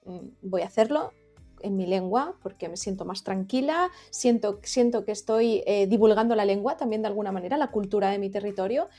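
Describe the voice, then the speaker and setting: Mellow-voiced woman podcast guest. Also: medium pitch, a little fast, soft background music. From Valencia region, Spain. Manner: thoughtful, explanatory. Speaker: guest, content creator. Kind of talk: conversational interview.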